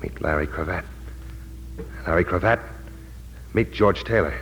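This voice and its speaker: A low-voiced, brooding 1940s radio hero. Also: male voice, fairly bright tone, slightly rough, rough recording. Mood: grim, agitated. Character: tense amnesiac war veteran searching for his past. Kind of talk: 1940s radio drama acting with first-person narration. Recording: old 1940s radio broadcast.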